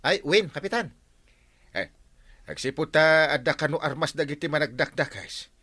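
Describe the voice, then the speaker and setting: Village captain, Ilocano radio comedy-drama: earnest, excitable, mid-pitched with very lively swings. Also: male voice, moderate pace. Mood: brisk, resolute.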